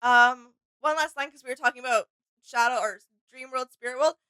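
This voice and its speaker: A woman's voice: high voice, a little fast, bright, forceful tone, smooth, no echo, low-quality recording. A woman co-hosting a reaction video, chatting casually and musing tentatively about game lore. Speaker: reaction channel co-host. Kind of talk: casual chat, discussing game character lore.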